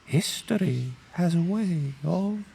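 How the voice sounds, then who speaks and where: A man's voice: high-pitched, animated, slow, vocal fry, warm tone, slightly rough, exaggerated voice. A man acting a pompous, stern, long-winded professor in a fantasy audio roleplay, pedantic and theatrically emphatic. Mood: stern, suspicious.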